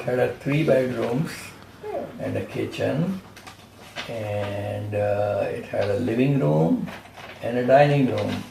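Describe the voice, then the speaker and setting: A man in his seventies with an Indian accent speaks slowly, with a gravelly, mellow voice. He sounds a bit far from the mic, and the room is echoey, with a faint hum of ambience behind him. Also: mid-range voice. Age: 70s.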